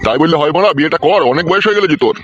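A man's voice: fairly high pitch, expressive, rapid-fire, fairly bright tone, highs cut off. A man playing a grumpy, crude old village father in a comic cartoon, blunt and grumbling. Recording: music under the voice.

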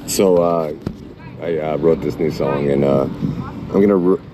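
A middle-aged man on his phone channel, halting and full of fillers, rambling and half-chanting lyrics he reads out. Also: a bass voice. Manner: halting, many fillers and restarts. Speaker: channel owner. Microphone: phone mic. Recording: noisy phone recording.

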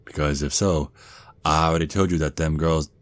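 Very deep Southern werewolf dad played by a man. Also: fairly even intonation, no room sound. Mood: alarmed, indignant. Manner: doting, folksy, slow drawl with pet names. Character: protective Southern werewolf father, gruff military sergeant. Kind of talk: ASMR roleplay acting.

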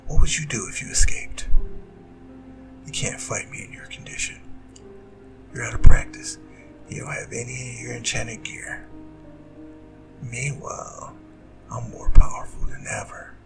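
Over clear background music comes a proud, menacing demon king acted by a man. His voice is a gravelly half-whisper, slow and grandiose, set in a roomy echo. It is a seductive, vengeful audio roleplay villain. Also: mid-range voice, fairly even intonation. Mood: menacing, gloating. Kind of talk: ASMR audio roleplay, dramatic monologue. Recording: roomy reverb, distant, music behind.

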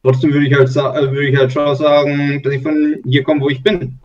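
A man pushes a point in a loose online discussion, full of filler words. His voice sits a little high and sounds distant and muffled. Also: little pitch movement, fairly quick, darkish tone. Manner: probing, lots of filler words. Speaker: discussion participant. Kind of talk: casual group chat. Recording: distant, muffled call audio.

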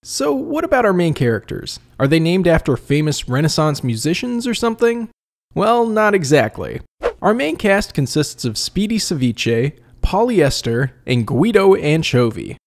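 A man narrating a scripted comedic review as host, in an expressive, wry and conversational manner. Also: fairly high pitch, moderate pace, vocal fry, a little hiss or hum. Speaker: video essay host and reviewer.

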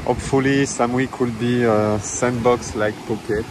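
A man's voice: medium pitch, fairly even intonation, normal speed. Chatty man on a windy beach. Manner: chatty, non-native phrasing, fillers. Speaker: travel vlogger. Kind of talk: casual walking-tour commentary. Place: outdoors on a tropical beach. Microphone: handheld phone camera. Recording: outdoor phone audio with surf noise. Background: waves and wind.

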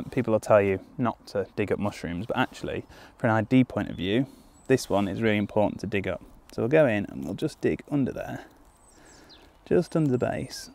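A man's voice: on the low side, creaky, a little mellow. A young man, crouched in woodland, explaining step by step as a foraging guide with easygoing ums and restarts. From United Kingdom. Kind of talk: outdoor teaching walkthrough. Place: woodland clearing outdoors. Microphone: camera on a tripod at a few metres, crouching in frame.

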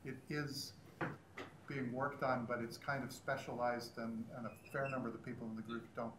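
A man's voice: medium pitch, flat delivery, brisk. Man on a panel in an echoey room. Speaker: panelist, standards group member. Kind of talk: unscripted panel discussion. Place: conference room panel before seated audience. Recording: echoey room, faint background noise.

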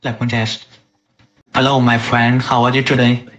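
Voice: medium pitch, level tone, moderate pace, a bit bright. A person speaking as an adult man through an AI voice changer, casually testing a microphone. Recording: dull, processed voice-changer audio.

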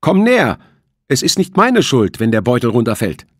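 Man voicing a defiant teenage boy, pitched high. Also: huge pitch swings, moderate pace, husky, no room sound, clean studio sound. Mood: urgent. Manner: urgent, commanding. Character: defiant, nervy teenage boy bargaining for his life.

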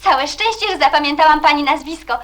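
An actress playing an eager, chatty, warm-hearted young woman in a radio play, lively and girlish. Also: sits high, fairly quick.

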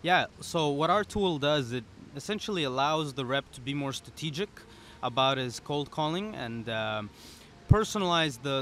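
A man's voice: medium pitch, a bit bright. Young man explaining casually, faint outdoor noise. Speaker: interview guest, startup founder. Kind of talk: conversational interview, explanatory. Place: outdoor pop-up podcast booth at a conference. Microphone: boom-arm podcast microphone. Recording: outdoor event ambience behind voice. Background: outdoor conference ambience.

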